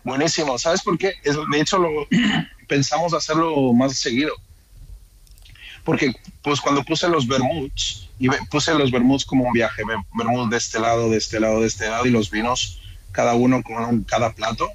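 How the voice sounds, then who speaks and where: Male restaurateur as interview guest, Madrid accent, mid-pitched, colloquial and conversational. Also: fairly even intonation, normal speed, crowd noise, low-quality recording. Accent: Madrid Spanish. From Spain, born in Ecuador and raised in Madrid. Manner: colloquial, with frequent question tags and English loanwords. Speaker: restaurant owner being interviewed. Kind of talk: casual interview chat.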